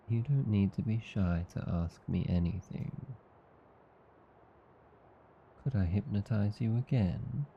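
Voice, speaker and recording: A man with an Australian accent playing a tender, teasing vampire husband in an ASMR roleplay, soothing, coaxing and calmly hypnotic. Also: low voice, vocal fry, dull audio, a little hiss or hum, full character voice. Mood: affectionate, teasing. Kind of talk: ASMR roleplay, slow intimate scripted acting.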